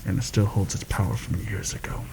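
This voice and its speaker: Man playing a low, gravelly soft-spoken rebel assassin. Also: expressive. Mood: quietly proud. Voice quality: gravelly, creaky. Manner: hushed, measured, conspiratorial. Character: seasoned, wry rebel assassin planning a palace heist. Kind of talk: soft-spoken ASMR roleplay. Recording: noticeable background noise.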